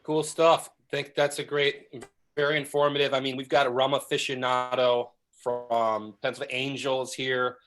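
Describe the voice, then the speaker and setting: A man hosting a live webinar on a video call, reading out viewer comments in an upbeat, energetic way. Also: mid-range voice, moderate pace. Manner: upbeat, hyped, energetic shout-outs. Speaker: webinar host.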